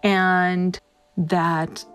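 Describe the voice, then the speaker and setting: A woman from Puerto Rico recounting a personal memory in a halting, reflective way, with many restarts. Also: on the low side, level tone, a bit bright, clean tone, faint music behind. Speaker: guest. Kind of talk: personal storytelling.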